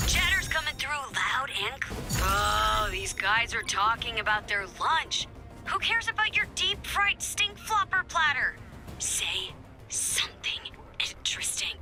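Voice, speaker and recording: A woman's voice: medium pitch, sharp tone. A woman voicing a flippant, impatient resistance member in a video game, with sarcastic, chatty asides. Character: flippant, impatient female resistance member scheming behind her leader's back. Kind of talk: animated video game voice acting.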